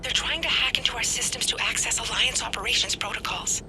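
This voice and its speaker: Woman acting a high-voiced, anxious tech in hiding. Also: quick pace, music in the background, close to an everyday voice. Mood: anxious, focused. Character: frightened but focused female civilian technician. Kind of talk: dramatic video game acting.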